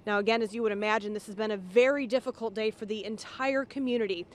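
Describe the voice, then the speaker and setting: A woman's voice: mid-range voice, fairly even intonation, fast. An American woman delivering a live local television news report outdoors. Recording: faint outdoor traffic noise.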